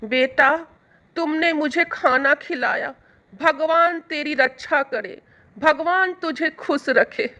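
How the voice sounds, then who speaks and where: A woman's voice: sits high, slow pace, bright, forceful tone, lo-fi sound, heavily acted. A woman playing a hungry, grateful old woman in a storybook tale, speaking in a feeble, pleading manner. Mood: grateful blessing. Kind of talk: storybook acting.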